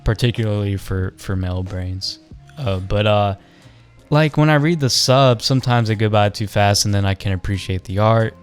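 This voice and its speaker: A young man with a fairly low voice talks on a podcast, rambling, joking and restarting his sentences. Soft music sits faintly under his voice. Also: normal speed. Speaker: podcast co-host. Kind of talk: casual chat.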